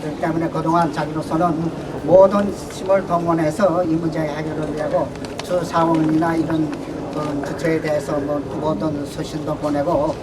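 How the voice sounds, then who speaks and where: A man making a formal, halting announcement to a meeting, with frequent fillers. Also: on the high side, flat delivery. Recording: distant mic with noise behind.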